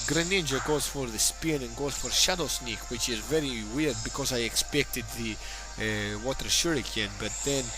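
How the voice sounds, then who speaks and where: A man's voice: medium pitch, poor audio. Tipsy man rambling over game music. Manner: rambling, joking and profane. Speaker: gameplay commentator. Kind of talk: casual play-by-play game commentary.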